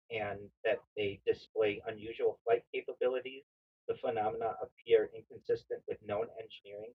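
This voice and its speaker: A man streaming a casual explainer monologue, halting with frequent fillers and restarts. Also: fairly low pitch, breathy edge, narrow, tinny audio. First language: not Hebrew.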